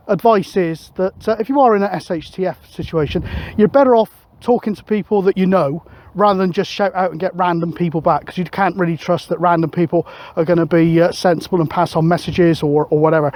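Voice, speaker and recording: A middle-aged man narrates a casual outdoor vlog, thinking aloud with hesitant pauses. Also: high-pitched, darkish tone. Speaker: radio hobbyist vlogger. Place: open hilltop field, outdoors. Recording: outdoor recording with wind noise.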